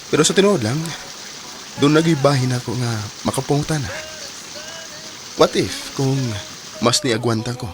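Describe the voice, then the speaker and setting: A man's voice: mid-range voice, natural voice, rough recording. A man playing a regretful, wistful ex-boyfriend in a radio confession, with a Cebuano accent. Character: regretful man pining over his ex.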